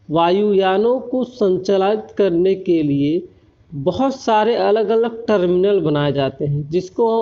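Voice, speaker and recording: An Indian man giving an explanatory technical lecture, often pausing to check that listeners follow. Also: high-pitched, mellow. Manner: frequent check-in tags while explaining. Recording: dull lo-fi recording.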